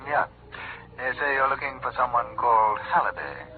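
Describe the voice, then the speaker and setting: A man's voice: mid-range voice, animated, normal speed, close to an everyday voice. An actor playing a sly, pushy small-time male crook in an old radio drama, casual and insinuating. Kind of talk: 1940s radio drama acting. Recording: tinny old radio, music behind.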